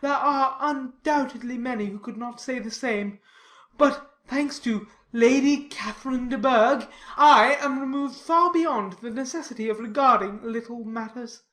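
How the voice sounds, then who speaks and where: A self-satisfied young clergyman, acted by a woman holds forth in a high, echoing voice. The delivery is pompous, in the manner of a period audiobook drama. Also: measured pace, fairly bright tone. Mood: self-important. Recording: roomy echoing sound.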